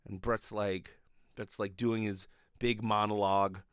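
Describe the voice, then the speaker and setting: A man in his thirties hosting a podcast, delivering an animated, rambling, sweary comedic monologue into a close studio microphone. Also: lowish voice, measured pace, smooth, no room sound. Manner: animated, profane, rambling storytelling. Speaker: podcast host. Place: small bedroom, indoors. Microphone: close desk studio microphone with pop filter.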